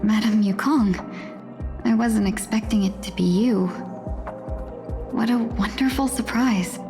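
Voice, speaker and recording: A woman's voice: medium pitch, steady tone, unhurried, close to an everyday voice. A woman playing a gracious, playful young lady in a video game, polite and genteel. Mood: touched. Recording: music behind the voice.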